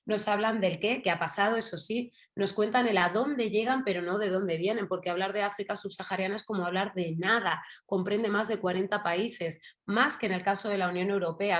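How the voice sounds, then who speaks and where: A woman from Spain with a Madrid accent, speaking as a journalist guest in an online panel, thoughtful and digressive, full of fillers and tag questions. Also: mid-range voice. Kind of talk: casual talk in an online panel.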